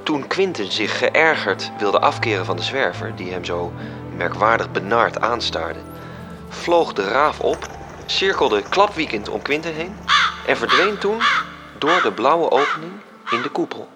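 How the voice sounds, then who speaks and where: An actor playing a measured storyteller, reading scripted literary narration for a radio drama. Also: male voice, medium pitch, sing-song. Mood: descriptive. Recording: music under the voice. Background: music.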